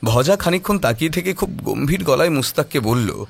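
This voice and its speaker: A man's voice: on the high side, expressive, brisk, vocal fry, breathy edge. A man narrating a scripted horror audio story in a dramatic storytelling style. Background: music.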